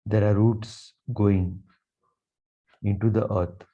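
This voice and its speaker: A man guiding a meditation with affirmations in a slow, calm, soothing delivery with long pauses. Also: fairly low pitch. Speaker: meditation guide, Reiki teacher. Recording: dull, muffled lo-fi sound.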